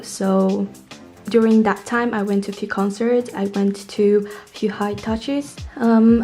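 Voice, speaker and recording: Mellow young woman chatting, vlog style. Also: mid-range voice. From Poland. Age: young adult. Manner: chatty, informal. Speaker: vlogger. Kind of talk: casual storytelling to camera.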